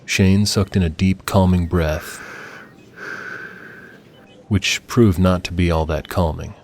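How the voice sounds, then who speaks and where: A man's voice: sits low, fairly even intonation, takes it slowly, slight background noise. A man reading scripted dramatic fiction as an audiobook narrator.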